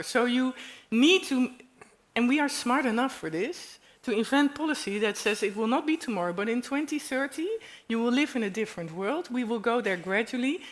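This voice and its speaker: A woman with a Dutch accent, a native Dutch speaker, answering emphatically on a panel and sometimes searching for English words. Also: on the high side. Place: stage at a university event.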